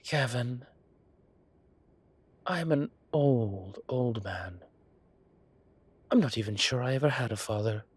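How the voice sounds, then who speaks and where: A man playing a fatherly, whimsical old boss, unhurried. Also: medium pitch, animated, slightly rough. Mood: gentle, grave. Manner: theatrical, fond, old-fashioned turns of phrase. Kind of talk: scripted audio roleplay drama.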